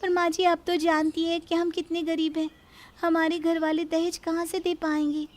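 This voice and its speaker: A woman's voice: very high voice, flat delivery, moderate pace, voice pushed up. A woman voicing a meek, worried young daughter-in-law in a cartoon story, speaking with timid, respectful pleading. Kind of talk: Hindi cartoon story acting.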